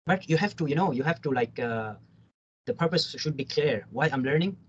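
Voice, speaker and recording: A man's voice: fairly high pitch, animated, fairly quick. A man chatting casually as a language student, explaining his points insistently and repeating himself in non-native English. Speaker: language student in a Tagalog lesson. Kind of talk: casual conversational chat. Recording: muffled call audio, clear background noise.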